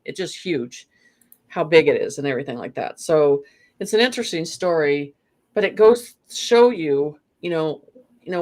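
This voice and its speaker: Speaker, interview guest, meandering spiritual talk, lots of "you know" fillers, mid pitch. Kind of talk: rambling spiritual conversation.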